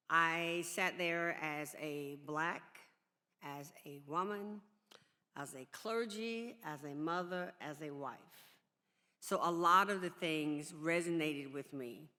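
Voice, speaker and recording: A clergywoman sharing a personal reflection at a public discussion, with emphatic, deliberate phrasing. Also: low-pitched, bright, forceful tone. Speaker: church district superintendent, clergy. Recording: echoey hall with long reverb.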